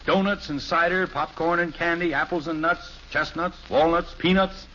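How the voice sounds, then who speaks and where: A man's voice: fairly high pitch, steady tone, moderate pace, fairly bright tone, close to an everyday voice. An actor playing a blustery, nostalgic middle-aged American father in an old radio sitcom, with an emphatic, hearty delivery. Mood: hearty, persuading. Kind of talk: 1950s radio sitcom acting. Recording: dull, noisy old radio broadcast recording.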